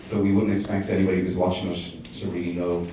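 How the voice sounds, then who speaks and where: A low-voiced man fields audience questions after a screening, speaking in a dark, flat tone. He hesitates often and restarts his sentences. His voice comes from a distance in a very echoey hall with faint noise behind it. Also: fast. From Ireland. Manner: hesitant, many fillers and restarts. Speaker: documentary director. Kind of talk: festival Q&A answers.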